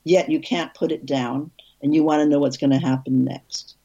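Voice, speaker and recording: Husky low-voiced woman telling stories. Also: moderate pace, lo-fi sound. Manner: animated, rambling, frequent restarts and laughs. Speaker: film director, interview guest. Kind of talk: casual interview storytelling.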